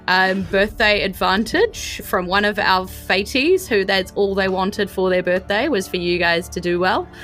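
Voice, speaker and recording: A woman's voice: medium pitch, measured pace, a bit bright, faint music behind. A woman with a New Zealand accent narrating a tabletop game as game master, casual and rambling.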